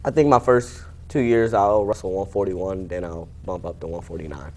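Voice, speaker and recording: A man's voice: sits low, fairly even intonation, moderate pace, a little mellow. A young American man around eighteen giving short, plain-spoken, casual answers as an athlete being interviewed. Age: young adult, about 18. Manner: laconic, plain-spoken, understated. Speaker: high school wrestler being interviewed.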